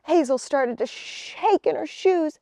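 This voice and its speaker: High-voiced, expressive woman reading a story. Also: slow pace, gravelly, no room sound, highs cut off. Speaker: storybook reader. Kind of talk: expressive children's story narration.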